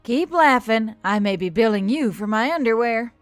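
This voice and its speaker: A woman's voice: a little high, expressive, moderate pace, dry recording, faint music behind, lightly acted. A woman voicing a frazzled, sarcastic human woman soldier with an indignant, exclamatory manner, in an audiobook. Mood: shaky sarcasm.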